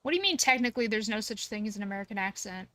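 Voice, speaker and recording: A woman's voice: medium pitch, animated, very fast, bright, forceful tone, no echo. A woman streaming a game, chatting casually and arguing her point with many fillers. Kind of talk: casual chat while gaming.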